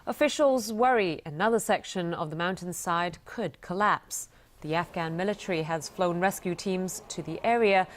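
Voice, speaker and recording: A woman reading scripted news as an anchor. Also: lowish voice.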